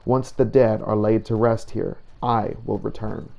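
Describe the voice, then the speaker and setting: A man voicing a solemn, devout warrior who hunts the undead, narrating a game journal in measured, formal words. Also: on the low side, steady tone, gravelly, dark tone. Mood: solemn, brooding. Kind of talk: in-character journal narration over a video game. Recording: noticeable background noise.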